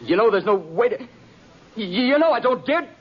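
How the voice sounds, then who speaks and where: A man's voice: very animated, moderate pace, slightly rough, full character voice. An actor playing a jittery young gunslinger who stammers as his voice climbs in panic, in a 1950s radio drama. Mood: panicked. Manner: stammering, voice jumps high with fear. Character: jittery young gunslinger man, boastful, cracking under pressure. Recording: muffled old radio recording.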